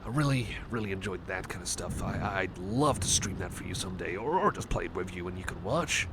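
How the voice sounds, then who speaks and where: A man's voice: low voice, expressive, a little fast, background noise. A man voicing a shy, anxious, affectionate young male cyborg friend, stammering and apologetic in an intimate ASMR roleplay. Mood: excitable, rambling. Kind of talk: ASMR roleplay, intimate one-sided chat.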